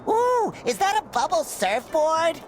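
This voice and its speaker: A man's voice: sing-song, unhurried, soft background music, exaggerated voice. A man voicing a cheerful, naive, childlike sea sponge in a cartoon game, nasal and eager. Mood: cheerful, helpful. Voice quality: nasal, squeaky. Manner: eager, chirpy.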